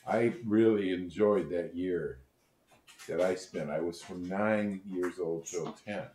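Deep-voiced older man interviewing. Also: takes it slowly, warm tone, echoey room, slight background noise. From Netherlands and United States. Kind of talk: oral history interview. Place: living room, seated on a sofa.

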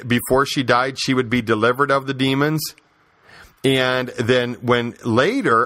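A man's voice: mid-range voice, a bit bright, dry recording. A man hosting a podcast, giving opinionated religious commentary and storytelling in an emphatic, conversational way, with repeated phrases and rhetorical questions. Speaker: podcast host.

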